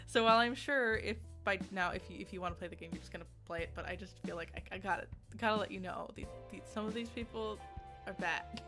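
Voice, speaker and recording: Bright-voiced woman, casual game reviewer over music. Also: medium pitch, fast. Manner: giggly, often laughing as she talks.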